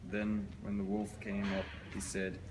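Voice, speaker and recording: A man's voice: on the low side, monotone, measured pace. A man telling a children's fairy tale as a dramatic storybook reading. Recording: noisy, reverberant hall recording.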